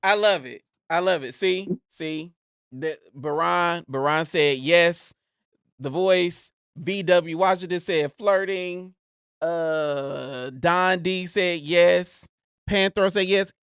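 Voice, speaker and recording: Animated, profane man hosting a podcast. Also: high voice, slow pace, smooth, no room sound, studio quality. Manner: animated, profane, laughs often. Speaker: podcast host. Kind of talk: casual conversational podcast talk.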